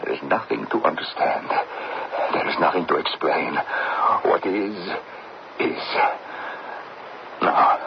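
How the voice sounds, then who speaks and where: Very deep, gravelly, grave gravedigger. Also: male voice, slow, long pauses. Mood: stern. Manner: solemn, formal, stern. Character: grave, guilt-ridden widowed gravedigger father who serves the dead. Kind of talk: old-time radio drama acting. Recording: dull, noisy old radio broadcast.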